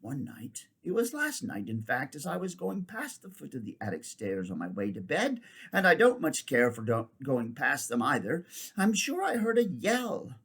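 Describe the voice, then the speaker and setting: A man reading aloud, voicing a miserable, excitable young boy who tumbles over his words. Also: mid-range voice, normal speed, breathy edge, exaggerated voice. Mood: secretive. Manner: tumbling, breathless restarts.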